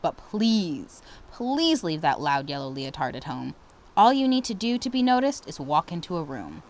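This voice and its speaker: Storybook ballet master, male, stern and cutting, animated pitch; female reader performs him. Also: normal speed. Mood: firm, formal. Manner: commanding, exacting, theatrical.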